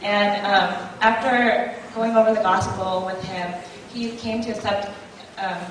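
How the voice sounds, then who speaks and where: A woman's voice: mid-range voice, fairly even intonation, measured pace. Hesitant young woman in an echoey hall. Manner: hesitant, chatty, laughs through awkward parts. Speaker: conference attendee giving testimony. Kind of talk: personal testimony to an audience. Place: large indoor hall. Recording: very echoey hall, distant mic, crowd and noise.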